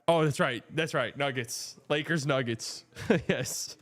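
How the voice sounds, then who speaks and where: A man's voice: a little high, slow, fairly bright tone. A man hosting a live watch-party stream, chatting casually and bluntly about the game and answering his chat.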